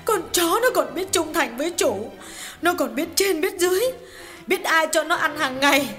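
A man voicing an enraged, betrayed young wife who scolds shrilly and cries as she shouts. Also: very high voice, animated, normal speed, soft background music, voice pushed up, full character voice. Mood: wailing. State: crying.

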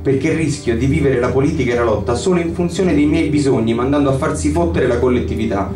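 A man reading a first-person literary story in an expressive, dramatic, bitter and brooding way. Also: medium pitch, fast. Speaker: reader of a short story. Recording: roomy live reading, distant mic, guitar behind. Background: acoustic guitar accompaniment.

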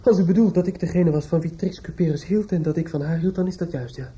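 A man's voice: on the high side, fast, mellow, natural voice. An actor in a radio play playing a bitter, grieving younger man, formally polite but pointed and reproachful. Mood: indignant. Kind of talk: Dutch radio play acting. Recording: dull old radio recording.

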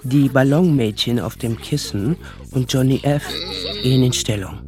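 A man narrating a radio play as storyteller of a child's magical world, with measured, dramatic phrasing. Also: medium pitch, over music, natural voice. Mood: storytelling.

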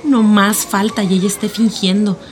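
A woman's voice: mid-range voice, fairly even intonation, moderate pace, a little hiss or hum, close to an everyday voice. An actress playing a bitter, gossipy middle-aged Mexican small-town mother with a biting manner in a radio drama. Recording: music behind the voice.

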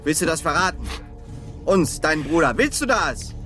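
A man's voice: on the high side, very animated, normal speed, pushed and bright, faint music behind. An actor playing a bullying thug in a modern radio drama, goading and menacing as he leans on a younger accomplice.

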